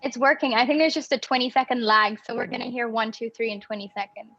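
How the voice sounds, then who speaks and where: A woman's voice: on the high side, quick pace, a bit bright. A woman in her twenties from Belgium, chatting as an interview guest over a video call, bubbly and laughing between phrases. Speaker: guest, improv theater artistic director. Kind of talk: casual interview chat. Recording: dull video call audio.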